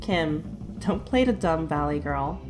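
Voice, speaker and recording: A woman's voice: low voice, expressive, slow pace, music in the background. A young woman playing a frank, teasing superhero girl in an audio drama, slangy and straight-talking. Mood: probing.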